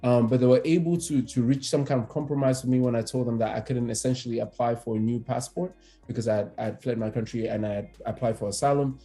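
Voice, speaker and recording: A man from Cameroon in his thirties, an immigration attorney speaking as a podcast guest, relaxed and hesitant with many fillers and restarts. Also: medium pitch, fast, soft background music. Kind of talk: conversational podcast interview.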